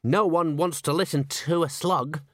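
A man's voice: on the high side, moderate pace, no echo, studio quality, voice pushed up, close to an everyday voice. A man voicing a nervous, hesitant male opera singer with a pleading manner in an audiobook. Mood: nervous, confessing. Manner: hesitant, stammering.

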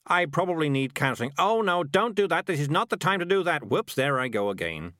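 Man playing a flustered, animated talking cat. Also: medium pitch, brisk, no echo, clean studio sound. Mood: desperate bluffing. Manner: wheedling, flustered bluffing. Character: sly, fast-talking male talking cat.

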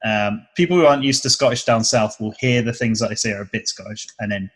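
A man's voice: fairly low pitch, fast talker, fairly bright tone, breathy edge. Chatty young man, southern English accent. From southern England. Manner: chatty, explaining at length with restarts. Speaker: comedy video creator. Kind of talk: casual interview chat.

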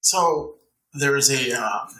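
Very bright-voiced podcast host. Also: male voice, medium pitch, slow pace, rough recording. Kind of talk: casual interviewing.

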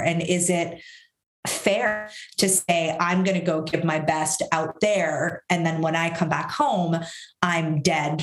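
Low-voiced woman, frank and reflective. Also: reverberant. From Syria. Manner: reflective, frank, lists events in quick runs. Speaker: guest, author and professor. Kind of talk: candid interview conversation.

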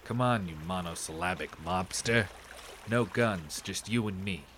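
A man's voice: sits low, unhurried, fairly bright tone, slight background noise. A man playing a tough, scrappy amateur detective in a 1930s radio thriller, with a hard-boiled delivery. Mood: taunting defiance.

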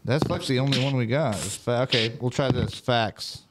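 A man's voice: medium pitch, moderate pace, noisy background. A man co-hosting a loose, profane chat show, joking and freestyling. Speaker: podcast co-host. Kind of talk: casual chat, freestyle rapping. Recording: music playing behind.

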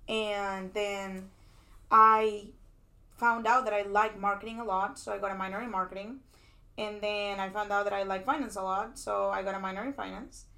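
A young woman from Peru tells her story in a casual interview, her pitch staying level and even throughout. She is chatty and loose, full of restarts and "like"s. It sounds like a recording made at a conference. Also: normal speed, gravelly. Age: young adult. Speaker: PhD student guest. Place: conference venue.

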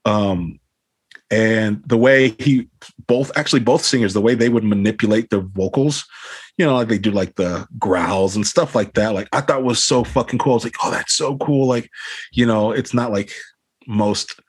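A man's voice: lowish voice, moderate pace, breathy edge, no room sound. A man, a band frontman, talking casually in an interview over a video call, rambling with lots of fillers and swearing. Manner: rambling, swears a lot, many fillers like "you know what I mean".

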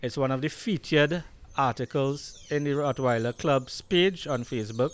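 A man's voice: medium pitch, normal speed. A man with a Trinidadian accent hosting a podcast, telling a story with many fillers and restarts. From Trinidad and Tobago. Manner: storytelling, hesitant with fillers and repeats. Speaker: podcast host and Rottweiler breeder. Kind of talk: casual conversation.

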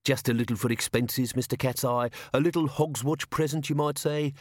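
A man voicing a careful, seasoned criminal who instructs in a measured, plain-spoken way, in an audiobook. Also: medium pitch, steady tone, no echo, clean studio sound, pitched above the performer's own voice, close to an everyday voice. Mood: calm instructing. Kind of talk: audiobook character voice.

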